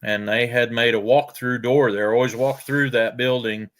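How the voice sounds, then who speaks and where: An older man, telling farm stories in a folksy, unhurried way with frequent pauses. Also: mid-range voice, steady tone, a bit bright. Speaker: storyteller guest. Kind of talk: casual storytelling.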